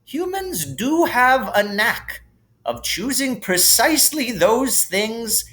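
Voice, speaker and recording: Man voicing slow, lilting kindly old wizard, pitched up. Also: big pitch swings, full character voice. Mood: wise, gentle. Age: older. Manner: unhurried, gently formal, drawn-out phrasing. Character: kindly, whimsical, calm elderly male wizard headmaster. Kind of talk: audiobook character reading.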